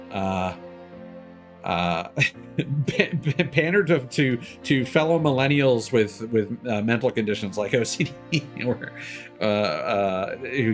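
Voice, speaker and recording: A man in his thirties chatting casually on a game livestream, rambling and opinionated as he talks. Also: fairly low pitch. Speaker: game streamer.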